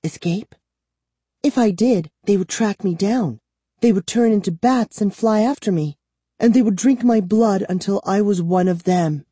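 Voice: mid-range voice, unhurried, warm tone, no room sound, pitched above the performer's own voice. A narrator voicing a bitter, frightened twelve-year-old girl held prisoner, trembling and urgent, in a storybook reading. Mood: grimly fearful. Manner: breathy sighing, urgent and trembling.

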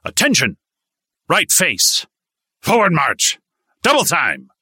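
A man voicing a gruff, scornful male army drill sergeant who barks orders. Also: low voice, lively intonation, moderate pace, bright, forceful tone, no echo, studio quality, exaggerated voice. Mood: barking. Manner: barking commands.

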